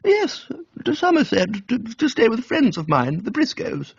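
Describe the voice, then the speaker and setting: A man's voice: extremely high pitch, huge pitch swings, a little slow, gravelly, slightly rough, dry recording, lo-fi sound, voice pushed up, full character voice. A man voicing a bossy, breezy middle-aged English aunt in a comic radio reading, teasing and exclamatory. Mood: brisk teasing.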